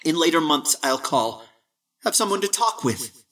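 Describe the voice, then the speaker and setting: A man voicing a cocky young man of twenty, mocking and lively in his talk. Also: a little high, normal speed, far from the mic, voice pushed up, exaggerated voice. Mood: breezy.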